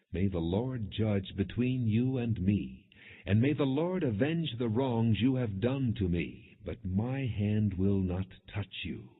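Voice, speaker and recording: A man's voice: fairly low pitch, breathy edge. A man voicing an earnest, reverent warrior who pleads his innocence with emphasis in a scripture audiobook. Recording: muffled, phone-like narrow band.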